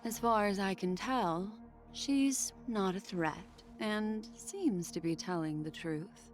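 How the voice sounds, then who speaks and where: A woman's voice: medium pitch, slow, close to an everyday voice. A woman voicing an elegant, mysterious memory keeper in a video game, velvety, courteous and faintly teasing. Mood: composed. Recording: game dialogue over music.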